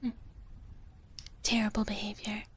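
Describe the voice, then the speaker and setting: A woman streamer speaking in a soft, breathy whisper in a playful, casual live ASMR style, close to the microphone. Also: medium pitch, monotone, a little slow. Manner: playful, speaks of herself in third person. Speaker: streamer performing as a dragon-girl character.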